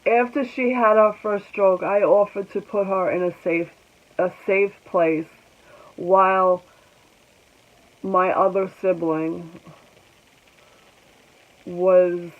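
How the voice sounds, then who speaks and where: A woman in her forties speaking slowly in a personal vlog, congested, halting, and pausing often. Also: mid-range voice, fairly even intonation, phone-quality sound. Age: 40s. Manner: halting, reflective, with many pauses and ums. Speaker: vlogger. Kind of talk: personal vlog talking to viewers.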